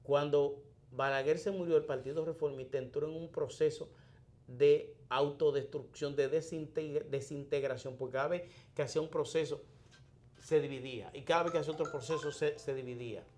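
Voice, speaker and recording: A man from the Dominican Republic with a Dominican Spanish accent, his voice pitched a little high for a man. He talks through politics at a measured pace, explaining point by point and stumbling into restarts and fillers along the way. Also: steady tone, darkish tone. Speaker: commentator. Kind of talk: morning political commentary.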